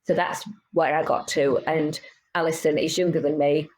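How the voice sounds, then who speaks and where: A woman's voice: low-pitched, fairly even intonation, moderate pace, darkish tone, low-quality recording. A woman in her fifties, a business owner and podcast guest, talking frankly and animatedly in casual interview chat with many restarts. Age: 50s.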